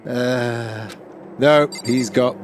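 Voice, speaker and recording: A man's voice: mid-range voice, steady tone, very slow, loud music behind the voice. A man hosting a gaming let's play with casual commentary. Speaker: gaming host.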